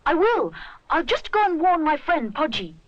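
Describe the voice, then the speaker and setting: A woman as a high, polite little boy bear. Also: very animated, normal speed, dry recording, highs cut off. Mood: friendly. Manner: polite, well-spoken, childlike.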